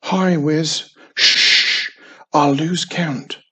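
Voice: mid-range voice, drawn-out words, breathy edge, exaggerated voice. Storyteller putting on a fussy, mischievous boy wizard. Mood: flustered. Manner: earnest, fussy. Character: busy, mischievous, self-important young wizard boy.

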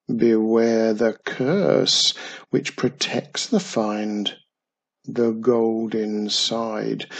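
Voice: mid-range voice, slightly rough, heavily acted. A storyteller voicing a busy, mischievous young wizard boy from a children's storybook, earnest and fussy.

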